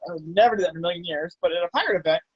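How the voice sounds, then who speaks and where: A man chatting over a video call from his hot tub, talking in a halting way with many restarts. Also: high voice, breathy edge, no room sound, dull audio. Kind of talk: casual chat. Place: home hot tub, outdoors in a storm.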